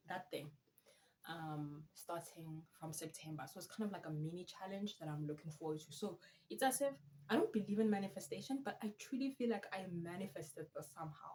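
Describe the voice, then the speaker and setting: A woman in her thirties, Afrikaans her first language, chatting to camera about her life. She has a fairly low voice and talks in a loose, self-mocking way, full of animated asides. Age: 30s. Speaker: vlogger and student teacher. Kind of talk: casual chat to camera.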